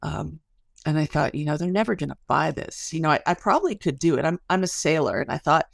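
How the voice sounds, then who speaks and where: A woman's voice: deep voice, lively intonation, fast talker, vocal fry, no echo, studio quality. A middle-aged American woman explaining her research as an interview guest in an informal, articulate, storytelling way. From Chicago area, United States.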